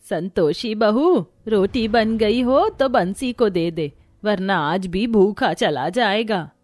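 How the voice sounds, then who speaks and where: A woman's voice: mid-range voice, animated, slow, darkish tone, no room sound. Woman playing a fretting old mother-in-law. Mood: instructing.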